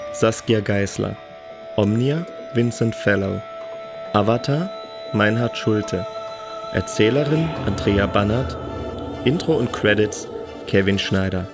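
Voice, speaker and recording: Lowish-voiced man announcing credits. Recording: music under the voice. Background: music.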